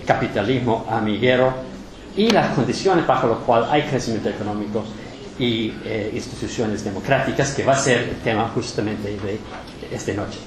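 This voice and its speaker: A man's voice: on the low side, crowd noise. Man giving a formal introduction. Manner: listing credits, measured. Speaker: moderator introducing the speaker. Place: lecture hall. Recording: roomy hall with background noise.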